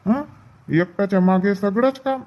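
A man's voice: high-pitched, measured pace, darkish tone. A man voicing a bantering, grumbling village farmhand in a comedy cartoon, with a rural Vidarbha Marathi accent and a drawling, colloquial delivery. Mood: complaining, joking. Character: male villagers in a comic paddy field, bantering and grumbling. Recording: dull, muffled lo-fi audio.

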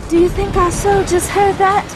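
A woman's voice: very high-pitched, little pitch movement, moderate pace, over music. An actress playing a spirited, haughty young lady adventurer in a video game, prim, tart and commanding. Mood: urgent. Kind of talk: scripted video game cutscene acting.

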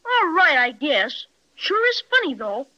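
A performer playing an eager, wide-eyed young boy with excitable delivery in 1930s radio acting style. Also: sits high, a little slow, highs cut off, exaggerated voice. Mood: amazed. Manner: excitable, exclaiming. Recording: old 1930s radio recording.

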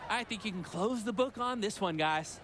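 An actor playing a measured basketball analyst, explaining players and plays in a sports commentary style. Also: male voice, high voice, lively intonation, a crowd in the background. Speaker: colour commentator. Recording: noisy game arena behind commentary. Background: basketball bounces, arena ambience.

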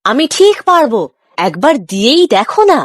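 Cartoon part: eager, apologetic young boy played by a woman, extremely high and animated. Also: moderate pace, no echo. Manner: pleading, earnest. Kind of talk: children's cartoon dialogue.

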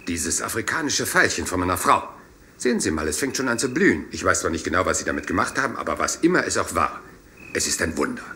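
An actor in a radio play playing a rumpled, courteous police inspector who apologizes and meanders doggedly. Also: male voice, low-pitched, big pitch swings, fast talker, pushed and bright, slight background noise, exaggerated voice. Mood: chatty, courteous.

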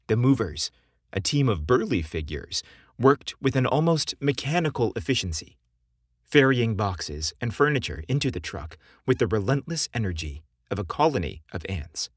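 A man narrating romantic fiction from a script in a measured, expressive storytelling manner. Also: lowish voice, slightly rough, no room sound, clean studio sound.

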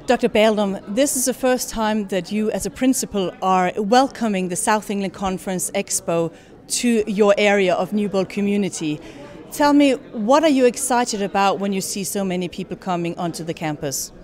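A woman's voice: medium pitch, normal speed, darkish tone. A woman reporter delivering event narration and putting interview questions to guests.

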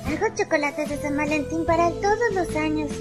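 Una mujer con acento latinoamericano interpreta a una adolescente tímida, dulce y vacilante en un doblaje de anime hecho por fans. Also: female voice, high-pitched, fairly quick, natural voice. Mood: flustered, wistful. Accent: Latin American Spanish. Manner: timid, earnest, hesitant. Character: shy, sweet, self-doubting teenage schoolgirl who cooks. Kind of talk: anime fan dub acting. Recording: loud music behind the voice.

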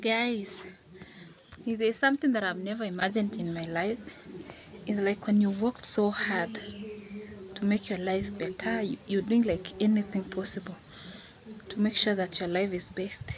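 A woman's voice: medium pitch, fairly even intonation, normal speed, long pauses, crowd noise, poor audio. A young Kenyan woman vlogging to a phone at arm's length outdoors in green countryside, talking earnestly and repeating herself as she pleads. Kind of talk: selfie vlog to camera. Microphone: phone held at arm's length. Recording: outdoor phone recording with clear background noise.

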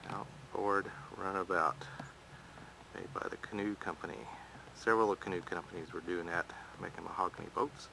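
A man's voice: sits low, fairly even intonation, moderate pace, gravelly, background noise. A man restoring an old wooden boat in his backyard, narrating over his own camera footage in a plain-spoken, practical way. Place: outdoors in a backyard.

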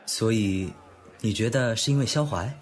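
A man's voice: on the low side, moderate pace, close to an everyday voice. A young man acting in an audio drama as a blunt, sardonic best friend who probes and teases. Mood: probing.

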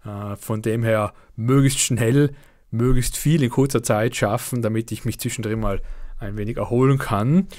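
A man of middle pitch talks casually to his viewers from a home setup. His voice sounds slightly worn from a cold. He chats on with fillers and a pace that speeds up and slows down. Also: steady tone, a little mellow. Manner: chatty, uneven pace with fillers. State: slightly under the weather. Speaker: let's play channel host. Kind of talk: casual monthly update to viewers.

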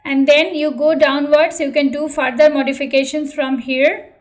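High-pitched woman narrating a tutorial. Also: little pitch movement, normal speed. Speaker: tutorial presenter. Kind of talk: tutorial narration and channel appeal.

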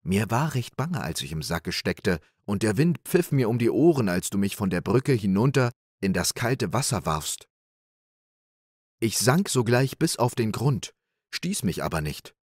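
A man voicing a clever, plucky young peasant in a storybook reading, talking in a raised, lively, boastful way. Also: lowish voice, unhurried, breathy edge, no echo, studio quality, full character voice.

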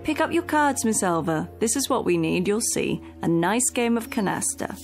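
A woman's voice: mid-range voice, sing-song, normal speed, a little mellow, soft background music. An actress playing a practical female companion in a radio drama, patient, coaxing and reasoning. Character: practical female companion soothing an elderly employer.

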